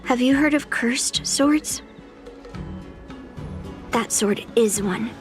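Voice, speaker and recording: A headstrong young game swordswoman, speaks in a high voice at a slow, measured pace. She is serious and defiant, intent on her mission, with faint music underneath. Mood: grave explaining. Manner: defiant, determined, explanatory. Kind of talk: video game character acting.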